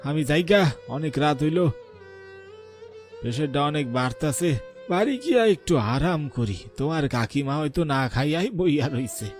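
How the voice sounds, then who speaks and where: A man's voice: fairly high pitch, lively intonation, moderate pace, soft background music, natural voice. A man voicing a weary, plain-spoken old villager in a cartoon, folksy and grumbling, with a rural Bengali dialect. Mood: conciliatory, tired. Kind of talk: dramatized cartoon dialogue.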